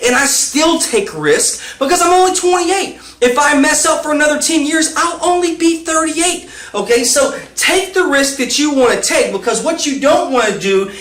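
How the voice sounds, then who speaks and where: A man in his late twenties giving quick motivational business tips to camera, upbeat and pushy, checking in with "okay?" as he goes. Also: extremely high pitch, lively intonation, a bit bright, roomy sound. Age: 20s.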